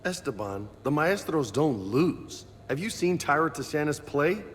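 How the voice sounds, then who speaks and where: Swaggering soldier dude in a game, very up and down delivery, slangy, echoey. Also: male voice, on the high side, big pitch swings, unhurried. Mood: joking, cocky. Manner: slangy, swaggering, Spanish words mixed in. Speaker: video game voice actor. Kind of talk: casual game dialogue acting. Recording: reverberant room, faint ambient noise.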